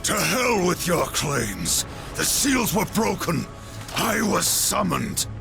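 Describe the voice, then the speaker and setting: A man's voice: high-pitched, very animated, slow pace, vocal fry, over music, heavily acted. An actor playing a proud, defiant horseman of the apocalypse in a fantasy game, grim and terse. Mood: defiant anger. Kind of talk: fantasy video game cinematic acting.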